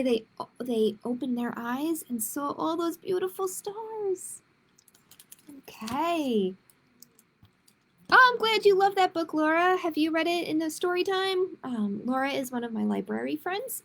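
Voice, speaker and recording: High-voiced woman librarian with creaky edges. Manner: gentle, encouraging asides to young listeners. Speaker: children's librarian reading storytime. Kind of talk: expressive picture-book read-aloud with chatty asides.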